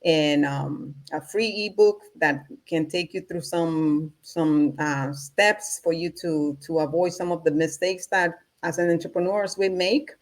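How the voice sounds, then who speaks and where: Woman with a low voice. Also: fairly even intonation, a little slow, few pauses. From Honduras. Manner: laughs often, upbeat and eager. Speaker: guest, holistic business teacher. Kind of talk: casual interview talk.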